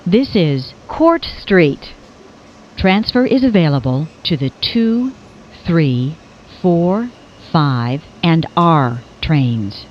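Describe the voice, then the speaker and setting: A woman's slightly low voice delivers scripted train announcements at a very unhurried pace. Her pitch moves up and down in a lively way across measured, pieced-together phrases. The recording is dull and lo-fi. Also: a little hiss or hum. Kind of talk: scripted automated transit announcements.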